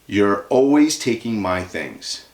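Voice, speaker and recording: A blunt, sour young girl from a children's storybook, acted out by a man. The lines jump around in pitch with an accusing edge. The room adds a noticeable echo. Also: expressive, slow. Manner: indignant, blunt.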